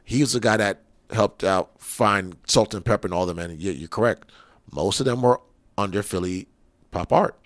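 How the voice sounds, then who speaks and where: A man's voice: lowish voice, moderate pace, no room sound. A man co-hosting a radio show with casual, joking banter and slangy reactions.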